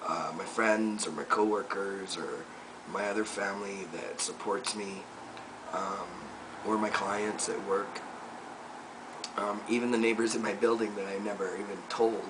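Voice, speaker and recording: A young man recording a personal video diary update, talking reflectively and softly with many pauses and fillers. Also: lowish voice. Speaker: vlogger. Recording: distant mic with noticeable room noise.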